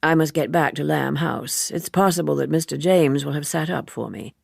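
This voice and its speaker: Woman voicing a low, wry secretive lover man. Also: normal speed, creaky, husky, no room sound, studio quality, heavily acted. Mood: preoccupied, regretful. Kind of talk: audiobook character dialogue.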